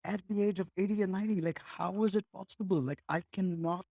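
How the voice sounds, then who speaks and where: A man's voice: high voice, fairly even intonation, fast talker, vocal fry, a little mellow, dry recording. A man, a podcast guest talking in a reflective, rambling way with many restarts. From India. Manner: rambling, with frequent restarts and "like", "right?". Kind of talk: reflective conversational chat.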